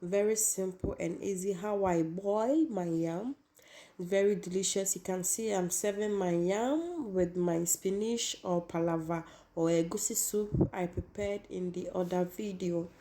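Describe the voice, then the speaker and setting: A woman hosting a cooking tutorial, hoarse from losing her voice, chatty and repeating herself as she talks to viewers. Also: lowish voice, measured pace, mellow. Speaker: cooking channel host.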